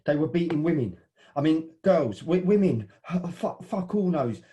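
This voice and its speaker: Brief: an actor as a brawling football fan, rough-spoken, stumbling over words. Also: male voice, on the high side, lively intonation, normal speed, mellow, close to an everyday voice. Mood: agitated. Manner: rough, swearing, stammering storyteller. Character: rowdy male football hooligan. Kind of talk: satirical audio drama.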